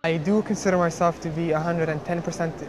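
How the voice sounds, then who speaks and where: A young man from Somalia speaks earnestly, pausing and restarting often. His pitch sits a little high but barely moves. Music plays softly under him. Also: fairly even intonation, poor audio. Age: young adult. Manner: earnest, halting, many fillers and restarts. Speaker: engineering student. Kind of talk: interview testimony.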